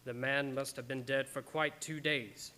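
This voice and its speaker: A man playing the solemn narrator of a gothic horror radio play, with suspenseful, deliberate phrasing. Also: medium pitch, steady tone, bright, forceful tone. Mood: ominous, suspenseful.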